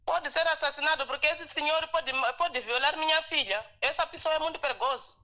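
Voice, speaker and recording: A woman's voice: sits high, brisk, bright, forceful tone. A woman caller on a live phone-in show who is not a native Portuguese speaker, arguing her point insistently and repeating herself. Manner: insistent, repetitive. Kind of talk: phone-in call. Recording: muffled phone line.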